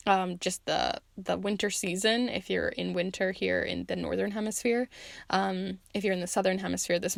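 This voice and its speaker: Creaky-voiced woman, close dry sound. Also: medium pitch, no echo. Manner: chatty, encouraging, informal. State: voice starting to give out. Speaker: host, tarot and charm reader. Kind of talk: casual pick-a-card reading, addressing viewers.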